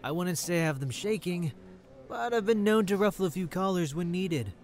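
A man's voice: high voice, lively intonation, moderate pace, a little mellow, faint music behind. A man playing an affable, ambitious district attorney in an audio drama, polished and courteous. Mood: courteous, eager.

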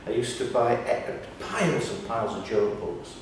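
An older man chatting casually in an interview, halting and repeating words as he tells anecdotes. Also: medium pitch, expressive. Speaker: pantomime writer and actor. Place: small indoor room, seated on sofa. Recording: echoey room, distant mic, faint noise.

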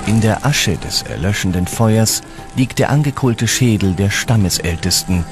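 A man reading scripted documentary narration in a measured, dramatic storytelling manner. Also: fairly low pitch, animated. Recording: dramatic music under the voice. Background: background music.

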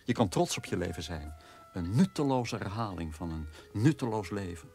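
An actor playing a resentful, tormented brother in a radio drama, emphatic and reproachful. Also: male voice, low-pitched, lively intonation, moderate pace, darkish tone. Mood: accusing. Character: resentful, accusing brother tormented by his father's guilt.

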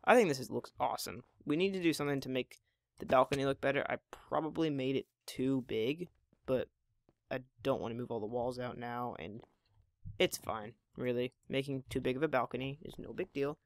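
A hoarse teenage boy in his mid-teens, with occasional voice cracks, chatting casually while playing a building game at home. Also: medium pitch, fairly quick, no echo. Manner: rambling, chatty, self-deprecating. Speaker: Minecraft gameplay channel host. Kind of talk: casual gameplay commentary.

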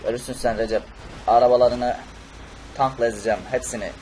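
Guy doing a cheeky pushy superhero for a cartoon, talks fast, slight background noise. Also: mid-range voice, steady tone, a little mellow, close to an everyday voice, poor audio. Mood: angry. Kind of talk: amateur cartoon-style game video dub.

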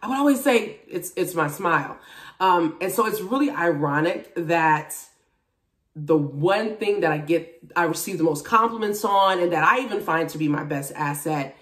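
A woman of about forty chatting casually to camera in a candid, expressive, emphatic way, recorded at home from a few feet away. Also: on the low side, normal speed. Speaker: vlogger, TV and radio personality. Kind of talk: casual chat to camera about a personal journey. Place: small indoor room at home. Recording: roomy echo, distant microphone.

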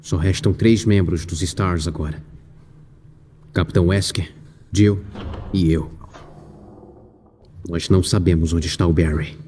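Man playing a deep-voiced grave police officer. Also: steady tone, unhurried, pauses often, warm tone, slight background noise. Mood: grave, somber. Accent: Brazilian. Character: grave young male special-police officer narrating a horror mission. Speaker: fan dub voice actor. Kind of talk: dramatic scripted game narration. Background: music.

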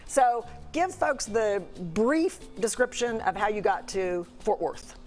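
A woman's voice: a little high, lively intonation, slow, a little mellow, faint music behind. A middle-aged woman interviewing conversationally as a morning television host. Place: bright glass-walled TV studio. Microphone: lapel mic.

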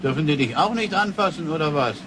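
An actor playing a gruff, irritable, old-fashioned grandfather in a sci-fi radio drama, blunt and grumbling. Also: on the high side, animated, fast, noisy background, close to an everyday voice. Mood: puzzled, irritated.

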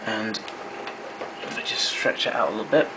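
A man's voice: lowish voice, a little slow, noisy background. A man giving a matter-of-fact, technical walkthrough of equipment, recorded indoors on a camera microphone. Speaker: hobbyist demonstrator. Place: indoor room at home.

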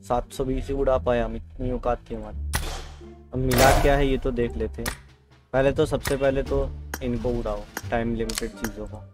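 A man's voice: mid-range voice, steady tone, moderate pace. A man doing casual gameplay commentary, chatting to viewers and repeating his phrases. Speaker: gaming video creator. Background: game music.

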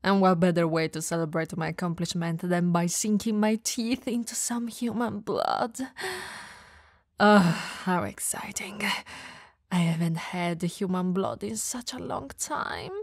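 A woman's voice: fairly low pitch, a little slow, dry recording, studio quality. A woman playing a playful, clumsy, bloodthirsty female vampire who mutters theatrically to herself in an ASMR audio roleplay. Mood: groggy, gleeful.

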